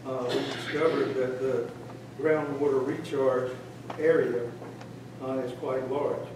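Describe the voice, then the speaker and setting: A man applying for a rezoning at a county meeting, speaking haltingly with many fillers and self-corrections. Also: mid-range voice, fairly even intonation, background noise. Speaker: applicant. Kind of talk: public comment at county meeting. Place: large county commission chamber. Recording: echoey chamber, distant mic, clear ambience.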